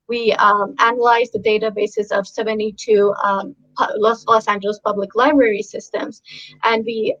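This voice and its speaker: Iranian-born woman, persian first language, kinda high voice, earnest with lots of ums, dull lo-fi audio. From Iran. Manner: earnest, many ums and restarts. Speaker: researcher. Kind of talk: casual conversational talk.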